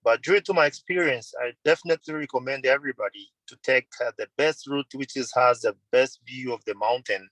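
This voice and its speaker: A man in his thirties from Tanzania talks through a video call. He speaks earnestly in plain, simple phrasing, restarting and repeating himself. His mid-range voice sits in a dry, quiet room. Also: medium pitch, a little fast, few pauses, no room sound, rough recording. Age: 30s. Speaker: mountain guide and tour company owner. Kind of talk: webinar interview answers.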